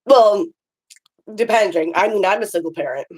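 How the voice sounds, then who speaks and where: A woman's voice: medium pitch, lively intonation, moderate pace, a little mellow, husky. Giggly woman from canada on a call, scratchy voice from being sick. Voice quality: scratchy voice with cough. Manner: giggly, self-deprecating. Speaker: guest reader. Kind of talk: casual video call chat.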